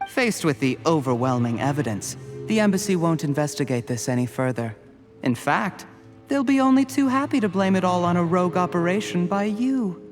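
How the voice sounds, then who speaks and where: A fairly high-pitched male villain, cold and scheming, in a video game. He speaks in measured, theatrical lines with mocking politeness, and music plays underneath. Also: lively intonation. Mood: smug, gloating. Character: cold, calculating official turned smug poisoner, gloating villain. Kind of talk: scripted video game voice acting.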